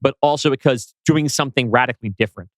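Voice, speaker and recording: A man's voice: mid-range voice, quick pace, no room sound. A man co-hosting a podcast, chatting casually as a screenwriter about his own writing.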